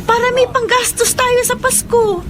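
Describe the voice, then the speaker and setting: A woman's voice: very high-pitched, fairly even intonation, moderate pace, noisy background. A woman playing a sweet, polite young daughter who pleads in a Tagalog radio drama. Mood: pleading.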